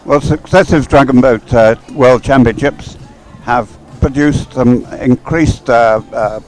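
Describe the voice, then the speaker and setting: A man giving unscripted expert sports commentary outdoors, with measured, hesitant phrasing. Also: mid-range voice, darkish tone, rough recording. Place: outdoors at a regatta stadium.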